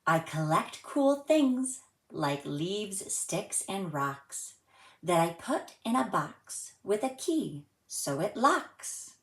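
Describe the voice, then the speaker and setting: Slow, echoey woman reading a children's story. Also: mid-range voice, big pitch swings. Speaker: story time host reading a children's book aloud. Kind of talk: children's read-aloud storytelling and friendly presenting to young viewers.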